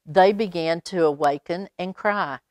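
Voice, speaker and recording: A woman reads a children's picture book aloud, adding expressive, chatty asides for young listeners. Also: lowish voice, unhurried, no echo. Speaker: library storytime reader.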